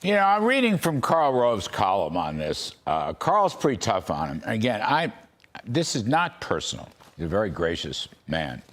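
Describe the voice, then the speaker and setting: A man's voice: lowish voice, wildly swooping pitch, fairly bright tone. A man hosting a political talk show, chatting casually with a guest, with lots of "um"s, "I mean"s and restarts. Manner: halting, many fillers and restarts. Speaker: TV show host.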